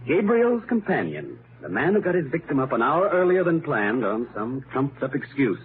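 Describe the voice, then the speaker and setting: A thin, noisy vintage radio recording carries a man acting a brilliant American amateur detective. He reasons aloud step by step with emphatic confidence, his pitch rising and falling freely as he builds his case. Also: very animated, close to an everyday voice. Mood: building to reveal. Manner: deliberate, methodical, emphatic. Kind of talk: 1930s radio mystery acting. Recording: noisy, narrow-band 1930s radio recording.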